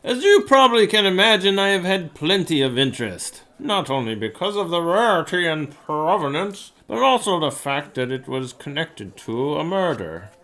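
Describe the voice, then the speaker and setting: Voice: mid-range voice, sing-song, normal speed, hardly any pauses, fairly bright tone. An actor playing a genteel, sly antiquarian shopkeeper in an audio drama, with courtly, theatrical salesman's politeness. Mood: intrigued, musing. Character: genteel, sly antiquarian shop owner selling a rare book.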